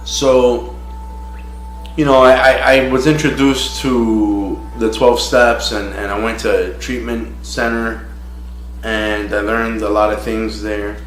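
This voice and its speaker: A man with a fairly low voice tells his own recovery story in an unpolished, conversational way, pausing often and filling gaps with "you know." The sound is roomy and echoey, with soft music playing faintly underneath. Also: level tone. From Miami, United States. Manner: halting and frank, full of "you know" fillers. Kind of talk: casual personal testimony.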